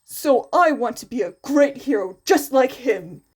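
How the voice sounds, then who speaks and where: A woman's voice: high-pitched, lively intonation, unhurried, a little mellow, slightly rough, heavily acted. A woman voicing an earnest, polite and determined teenage boy hero student in a story reading, with formal manners.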